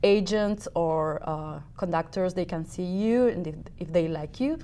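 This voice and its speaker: A woman's voice: on the low side, measured pace, warm tone. A woman with a Uruguayan Spanish accent, a native Spanish speaker, answering interview questions with many restarts and fillers. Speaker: opera singer, guest.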